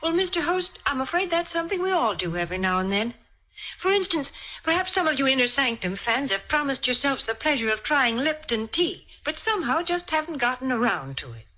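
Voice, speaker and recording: Bright, high-pitched 1940s radio saleswoman. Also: very animated, brisk, poor audio. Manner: chirpy, crisply persuasive. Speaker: sponsor announcer and sidekick.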